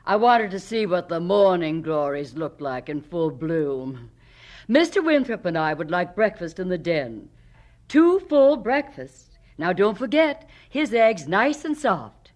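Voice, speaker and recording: A troubled society wife, weary and emotional. Her speech is slow and swings expressively in pitch, in theatrical 1970s radio drama style. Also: sing-song. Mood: groggy, aching. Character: weary, troubled wealthy wife and mother with drinking problem.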